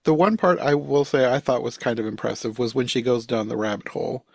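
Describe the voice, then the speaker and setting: A man's voice: mid-range voice, fairly quick, gravelly, breathy edge, no echo. A man talking casually as a podcast co-host, giving his thoughts on a show. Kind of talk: casual chat, review.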